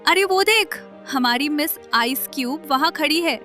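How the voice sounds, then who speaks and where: A woman's voice: high-pitched, expressive, measured pace, pushed and bright, soft background music, exaggerated voice. A woman voicing a sneering, mocking college student in a cartoon story.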